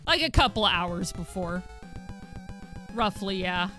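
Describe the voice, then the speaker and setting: A young woman streamer with a husky voice, chatting casually with viewers while gaming and thanking them, with frequent fillers. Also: mid-range voice, expressive, a little slow, sharp tone, soft background music.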